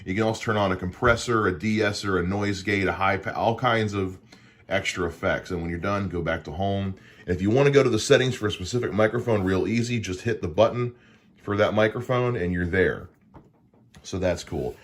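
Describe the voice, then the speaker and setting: A deep, low-pitched man speaks into a dynamic broadcast mic in his home studio. He explains things in an easygoing way and often pauses on "uh" as he goes, like a podcast host showing off his setup. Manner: relaxed and explanatory, with frequent "uh" fillers. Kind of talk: casual gear unboxing walkthrough.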